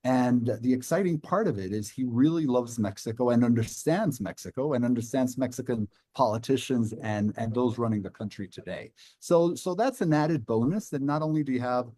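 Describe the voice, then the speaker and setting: A man speaking on an online news panel, halting, with many restarts. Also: medium pitch, animated, warm tone, dry recording, studio quality.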